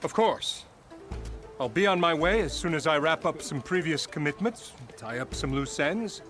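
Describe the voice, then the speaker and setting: An actor playing a pompous, scheming mine boss in a video game cutscene, blustering and sneering. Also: male voice, on the high side, lively intonation, normal speed, a bit bright, faint music behind. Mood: evasive.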